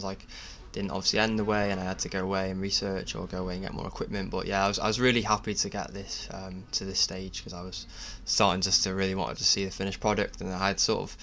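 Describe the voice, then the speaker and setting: A man narrating his own DIY project in a casual voice-over, speaking in a halting, self-effacing way. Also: low-pitched, fairly bright tone. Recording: clear background noise.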